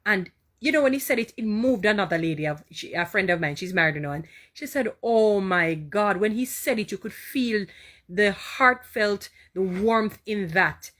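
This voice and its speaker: A Jamaican woman hosting a casual inspirational live talk, chatting warmly, repeating herself and answering viewer comments. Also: mid-range voice, normal speed. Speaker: live-stream host.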